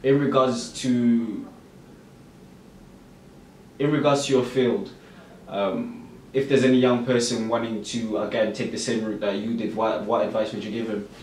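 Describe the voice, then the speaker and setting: A man's voice: on the low side, fairly even intonation, measured pace, darkish tone. A man with a British accent, co-hosting a podcast and thinking aloud hesitantly, with plenty of "um"s. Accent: British English. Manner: thoughtful, hesitant with "um"s. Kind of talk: casual podcast chat. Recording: echoey room, mic at a distance, faint noise.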